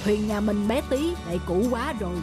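A woman voicing a calm, wise middle-aged fisherman father in a cartoon folk tale, speaking patiently and instructively. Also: medium pitch, brisk, over music, natural voice. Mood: fond, doubtful.

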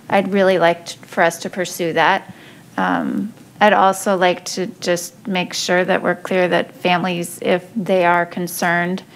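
A woman on a school board, thinking aloud while she asks questions in a public meeting. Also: lowish voice. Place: council chamber.

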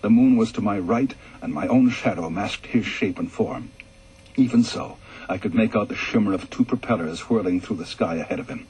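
A man reading a ghost story aloud for radio in a measured, calm way, going soft and low in the reflective passages. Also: slightly rough, rough recording. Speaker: story reader.

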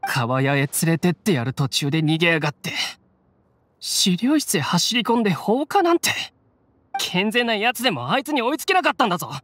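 An actor playing a defiant, rough-talking low-ranking bandit in a video game. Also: male voice, sing-song, a little fast, fairly bright tone. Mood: grudging. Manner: rough, coarse, blustering speech. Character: defiant low-ranking bandit gang grunt, adult man. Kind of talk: Japanese game voice acting.

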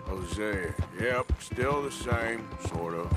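Gruff kindly american cowboy outlaw, middle aged, wry, voice moves around a lot, game dialogue. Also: male voice, lowish voice, lively intonation, takes it slowly. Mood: reassuring, somber. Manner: folksy, dry, wry. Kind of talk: naturalistic video game drama acting. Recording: music behind game dialogue.

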